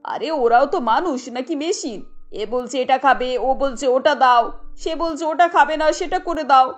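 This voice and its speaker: Woman voicing an exasperated, high-pitched bossy mother-in-law. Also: measured pace, faint music behind. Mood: scolding, exasperated. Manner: scolding, commanding.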